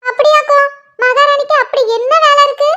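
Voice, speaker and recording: Performer putting on a scolding mother, shrill, nagging, very high, lo-fi sound. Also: fairly even intonation, fairly quick, sharp tone. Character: scolding village mother of two daughters. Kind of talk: one-person comedy skit. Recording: dull lo-fi recording.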